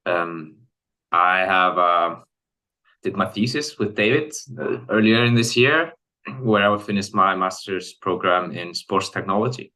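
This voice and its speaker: A man's voice: low-pitched, a little slow, bright, forceful tone, poor audio. A young man in his twenties from Iceland briefly introducing himself on a video call, with hesitant fillers. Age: 20s. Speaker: team member introducing himself. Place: room with window.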